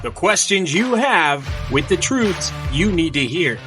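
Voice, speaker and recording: A man's voice: a little high, big pitch swings, a little slow, music in the background. A man announcing a podcast intro in a produced show opening.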